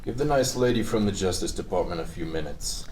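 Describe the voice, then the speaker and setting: Pragmatic hard lawman played by a man, very low voice, matter-of-fact, recorded at a distance from the mic. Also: animated, brisk, darkish tone. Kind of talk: table reading of a TV script. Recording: distant mic with faint noise.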